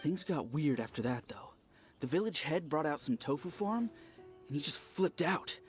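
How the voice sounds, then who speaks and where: A man's voice: fairly high pitch, moderate pace, faint music behind, lightly acted. A man playing a chatty, easily impressed villager in a video game, telling things with relish. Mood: excited, gossipy. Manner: animated, storytelling.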